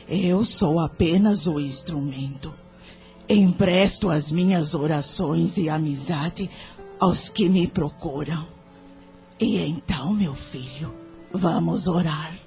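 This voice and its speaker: Woman playing a slow, gentle old Brazilian healer. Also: sits low. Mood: gentle, serene. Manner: gentle, devout, unhurried speech. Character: kindly humble older woman, spiritist medium and healer. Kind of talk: audio drama acting in Brazilian Portuguese. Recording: dull lo-fi audio with music behind.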